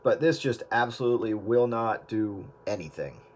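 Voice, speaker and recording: A man's voice: on the low side, expressive, moderate pace, heavy background noise. Rambling man with many fillers. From US Northeast. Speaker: video editor. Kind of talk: casual chat.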